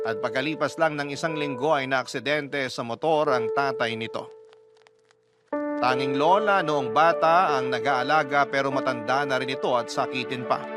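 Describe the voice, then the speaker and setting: A man narrating a heartfelt letter as a radio storytelling host, in an earnest, confiding dramatic style. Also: mid-range voice. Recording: loud music bed under narration. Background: loud background music.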